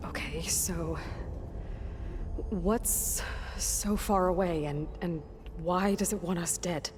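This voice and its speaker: An actress playing a determined, earnest young video game heroine who questions and reasons aloud in a natural, halting way. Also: female voice, lowish voice, big-room sound. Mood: puzzled, uneasy. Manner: questioning, hesitant, thinking aloud. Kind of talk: naturalistic game cutscene dialogue. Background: music.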